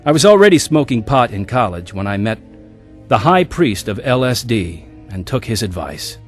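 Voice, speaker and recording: A man's voice: mid-range voice, big pitch swings, moderate pace, faint music behind, lightly acted. An actor narrating and playing a young man looking back on his wild youth in a radio drama.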